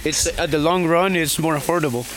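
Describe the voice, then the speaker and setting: A man's voice: a little high, a little fast, fairly bright tone, slight background noise. Exhausted, joking male runner from Guatemala. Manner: candid, joking, with many fillers. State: exhausted after two hundred miles. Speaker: ultramarathon runner. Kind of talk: casual on-course interview. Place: outdoors at a race aid station.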